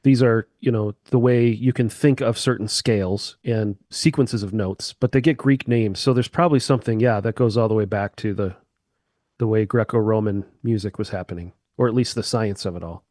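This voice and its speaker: A man's voice: fairly low pitch, moderate pace, a little mellow, no room sound. A man, a musician guest on a chat podcast, explaining eagerly with sweeping comparisons into a close mic.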